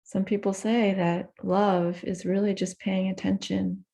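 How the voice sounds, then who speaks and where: A woman leading a guided meditation in a gentle, unhurried manner with soft pauses between phrases. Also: medium pitch, flat delivery, vocal fry.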